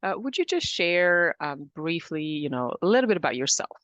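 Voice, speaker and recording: A woman hosting a podcast interview, speaking in a measured way with some hesitant fillers. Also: lowish voice, big pitch swings, fairly bright tone, no echo. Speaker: podcast host, business coach.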